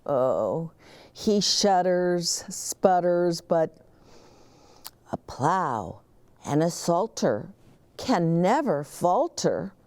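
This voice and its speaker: A woman reading a children's story aloud in an expressive, dramatic way, talking directly to young listeners. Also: on the low side, extremely slow, dry recording. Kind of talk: expressive picture-book read-aloud.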